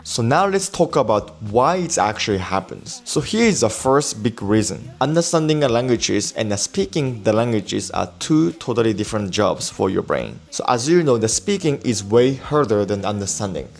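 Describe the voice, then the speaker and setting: A man with a Japanese accent, a native Japanese speaker and teacher, explaining language learning in a calm, reassuring scripted lesson. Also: mid-range voice.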